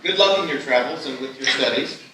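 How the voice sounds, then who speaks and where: A man's voice: medium pitch, brisk, lightly acted. A man playing a polite, kindly man in his twenties, speaking courteously in a live screenplay table read. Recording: echoey hall from far off, clear noise.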